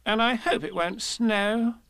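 A man voicing a fussy rabbit who chatters in a brisk, chatty way. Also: sits high, steady tone, a bit bright, clear, resonant tone, no echo, pitched above the performer's own voice. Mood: cheerful.

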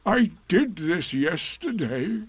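A slow, gloomy old donkey. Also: male voice, high-pitched, big pitch swings, breathy edge, pitched above the performer's own voice, full character voice. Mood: doubtful, slow. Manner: ponderous, drawn-out, sardonic.

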